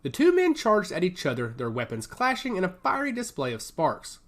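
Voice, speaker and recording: A man's voice: high voice, huge pitch swings, a little fast, a bit bright. A man narrating an action story as a scripted, dramatic audiobook.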